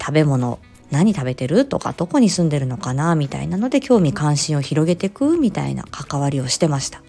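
Lady doing a casual audio diary, really lively pitch swings, kinda soft mellow tone, faint music behind. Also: gravelly. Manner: expressive, re-enacts short quotes while explaining. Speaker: speech-language therapist hosting an audio diary. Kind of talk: casual solo chat, storytelling.

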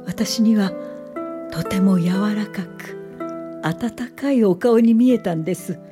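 A woman's voice: mid-range voice, over music, lightly acted. An actress playing a thoughtful woman in her late fifties, a welfare official, in a radio drama, speaking politely and reflectively. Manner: polite, measured, reflective storytelling. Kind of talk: radio drama narration and dialogue.

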